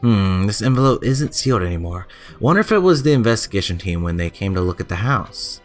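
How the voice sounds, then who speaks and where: Low-voiced man doing casual gameplay commentary. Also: expressive, brisk, faint music behind. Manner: informal, chatty, stumbles when reading aloud. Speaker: gaming channel host. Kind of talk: casual let's play commentary, reading game text aloud.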